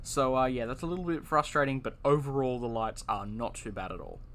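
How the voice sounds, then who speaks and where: A man's voice: mid-range voice, fairly quick, fairly bright tone. A young man giving casual, enthusiastic commentary while reviewing a car in a video game, with lots of fillers and repeated words.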